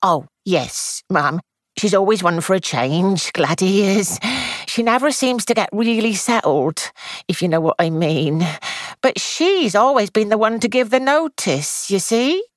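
A woman's voice: mid-range voice, animated, measured pace, no echo, studio quality, voice pushed up, natural voice. A woman voicing a young, anxious housemaid who rambles on in breathless, deferential gossip. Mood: fretful.

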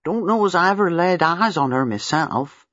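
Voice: medium pitch, moderate pace, no room sound, pitched above the performer's own voice, natural voice. A narrator voicing an older male country gardener who rambles in rural dialect. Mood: gossipy. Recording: dull lo-fi dry recording.

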